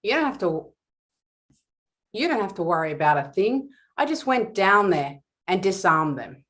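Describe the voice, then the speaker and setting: A woman's voice: mid-range voice, very animated, normal speed, a bit bright. A woman playing a placating Old West sheriff in a screenplay table read. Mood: reassuring.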